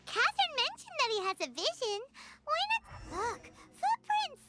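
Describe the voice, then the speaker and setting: Bossy little fairy sidekick for a game: childlike, extremely high, hugely animated. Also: female voice, moderate pace. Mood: curious. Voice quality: squeaky, childlike. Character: small childlike floating fairy companion, excitable and bossy. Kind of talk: video game voice acting. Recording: game music behind the voice.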